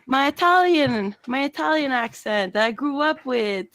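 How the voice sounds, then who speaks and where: High-voiced woman co-host, casual and playful. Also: animated, normal speed, fairly bright tone, no room sound. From French Canada. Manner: playful, jokes in put-on accents. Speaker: podcast co-host. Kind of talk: casual chat. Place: home room, video call. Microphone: studio mic on stand.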